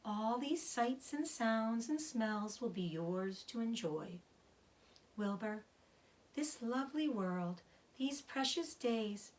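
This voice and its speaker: A woman voicing a gentle, weary, dying female spider in a children's storybook, softly and slowly, often near a whisper. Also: a little high, lively intonation, rough recording. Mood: tender, serene. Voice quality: soft, breathy, often whispered. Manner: slow, measured, soothing delivery. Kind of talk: children's storybook read-aloud.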